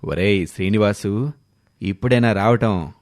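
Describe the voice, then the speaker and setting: A man's voice: on the low side, unhurried, no echo, clean studio sound, pitched below the performer's own voice, natural voice. A man voicing a kindly, blunt village uncle and farmer with a rustic, fatherly manner. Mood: reproachful.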